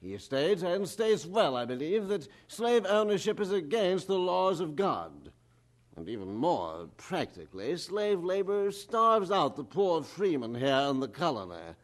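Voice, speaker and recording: Fussy, swooping colonial official. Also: male voice, a little high, big pitch swings, measured pace. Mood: indignant. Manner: hesitant, flustered, pompous. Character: fussy, defensive male colonial official, eager to please. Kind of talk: audio drama acting.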